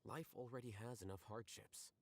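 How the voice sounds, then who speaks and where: An actor playing an aloof, bookish young male scholar in a video game, speaking in a measured, precise, deadpan way. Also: mid-range voice, gravelly, no echo, studio quality. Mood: detached, wry. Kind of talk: scripted video game voice lines.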